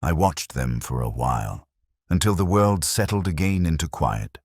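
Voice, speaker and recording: A man plays a brooding male detective narrating a radio mystery. His voice is extremely low, yet it rises and falls expressively. The delivery is literary and reflective, in a dry, close-sounding recording. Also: big pitch swings, darkish tone, no room sound, full character voice. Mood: brooding, melancholy. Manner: brooding, literary, measured storytelling. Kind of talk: scripted first-person mystery narration in radio drama manner.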